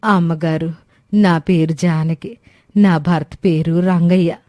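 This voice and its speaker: A poor village servant woman from a moral story, acted by a woman. She is humble and deferential, with a low, dark voice and a dry sound. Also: steady tone, slow, dry recording.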